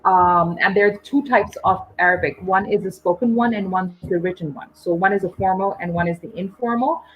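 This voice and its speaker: A woman hosting a live online English session, explaining patiently with frequent pauses and ums. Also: medium pitch, slightly rough, highs cut off.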